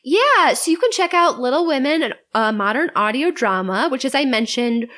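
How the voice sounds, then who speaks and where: A woman's voice: sits high, big pitch swings, normal speed, bright, forceful tone. A woman guesting on a podcast, chatting casually with a wry, joking manner and ready laugh. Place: in-person table recording.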